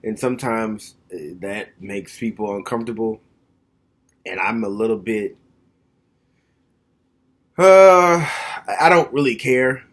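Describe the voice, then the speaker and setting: A man's voice: on the low side, slow, pauses often. A man in his thirties talking at home in a casual, reflective monologue to the camera. He is frank and plainspoken, and thinks aloud as he goes. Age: 30s.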